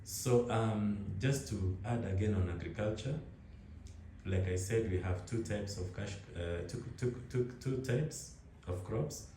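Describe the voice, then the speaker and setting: Kenyan guy, young, low mellow voice, rambles and restarts a lot, echoey room with a faint hiss. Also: measured pace. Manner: relaxed, rambling, frequent restarts and laughs. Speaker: interviewee. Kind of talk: casual conversation.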